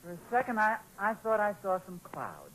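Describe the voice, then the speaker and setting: A bright-voiced, hopeful young father in an old radio comedy. He sits a little high for a man and talks politely, with some anxious hesitation, over light vintage recording noise. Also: huge pitch swings, normal speed, exaggerated voice, low-quality recording. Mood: worried, hopeful. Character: hopeful, anxious young father of small boys, storytelling dad. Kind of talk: 1940s radio sitcom acting.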